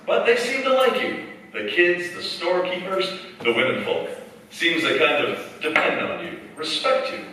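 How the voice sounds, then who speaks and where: A man's voice: medium pitch, pushed and bright, far from the mic. A man playing a folksy, knowing narrator who talks to the hero in a 1940s style radio drama. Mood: musing, needling. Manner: folksy, conversational. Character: folksy inner-voice narrator addressing the hero as you. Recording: echoey stage from afar, faint noise.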